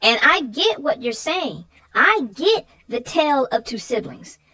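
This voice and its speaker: A woman with a very high-pitched voice, speaking as a co-host. She gives casual, conversational commentary on a children's book. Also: big pitch swings, measured pace, bright, forceful tone, poor audio.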